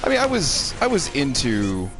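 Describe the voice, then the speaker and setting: A man's voice: medium pitch, wildly swooping pitch, normal speed. A man in his thirties casually rambling and thinking aloud as he commentates on a video game stream. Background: game music.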